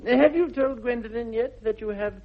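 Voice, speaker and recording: Posh english young gent, mischievous, high voice, bit husky, old radio play. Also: male voice, brisk. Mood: teasing. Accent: upper-class English. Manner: arch, drawling, theatrical. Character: idle, witty, mischievous young English gentleman. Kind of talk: 1960s BBC radio comedy acting. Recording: muffled vintage radio recording.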